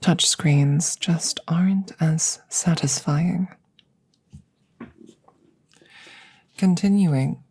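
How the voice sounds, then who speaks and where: A woman voicing a formal, curious alien examiner in an ASMR roleplay, soft and breathy, often whispering, with precise clinical phrasing. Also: sits low, exaggerated voice. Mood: matter-of-fact, curious. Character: formal, curious female alien examiner interviewing a rescued creature.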